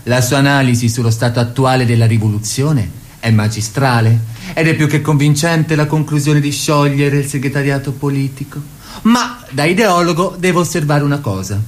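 A man's voice: medium pitch, normal speed, close to an everyday voice. A man playing a calculating party ideologue in an Italian radio drama, speaking in didactic, declamatory fashion. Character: doctrinaire male party ideologue, measured and calculating. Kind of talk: Italian radio theatre, political oratory.

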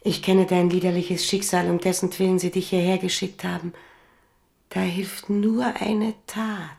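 A woman's voice: on the low side, little pitch movement, moderate pace, creaky, darkish tone. An actress in a German radio play, playing an imperious, seductive noblewoman who schemes and commands. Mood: conspiratorial, urging. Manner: commanding, coaxing.

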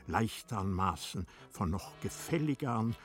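A man narrating a classic novel in a radio play in a measured, formal, composed and reflective manner. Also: on the low side, animated, faint music behind.

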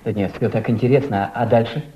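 An admiring young man played by a male actor speaks respectfully and a little hesitantly at a medium pitch. He is earnest and eager, in an old radio drama with dull, muffled sound. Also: steady tone, fast, lightly acted. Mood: eager, wistful. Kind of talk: Soviet radio drama acting.

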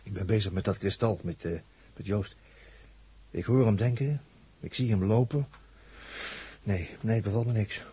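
A dark, very raspy male astronaut, low in pitch. He mutters uneasily and haltingly in an old radio play. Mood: uneasy. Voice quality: husky, raspy. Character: uneasy male astronaut watching a crewmate through a crystal. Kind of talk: 1960s radio drama acting.

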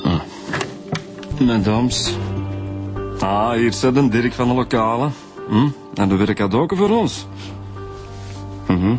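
A Flemish actor playing an informal, teasing, grumbling police detective in a naturalistic radio drama, talking colloquially. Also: male voice, mid-range voice, expressive, slow pace, long pauses, over music. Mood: casual. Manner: colloquial, casual.

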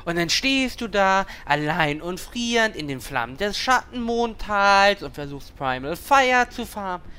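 A man playing a needling little gnome warlock in a parody audio drama, talking in an animated, relentless way. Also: sits high, measured pace, clean tone, full character voice. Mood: mock-harrowing recounting.

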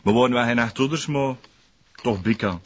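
An actor playing an aggrieved former concierge turned prosperous dealer in a radio drama, rambling in folksy dialect with a West Flemish accent. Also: male voice, mid-range voice, fairly quick, husky, highs cut off, natural voice. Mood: wistful, aggrieved. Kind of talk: naturalistic radio drama dialogue.

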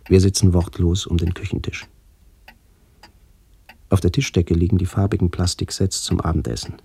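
An actor playing a brooding, melancholy middle-aged man who narrates a literary radio play in a measured, introspective way. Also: low voice, gravelly, warm tone, breathy edge, dry recording, exaggerated voice. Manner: measured, introspective, poetic delivery.

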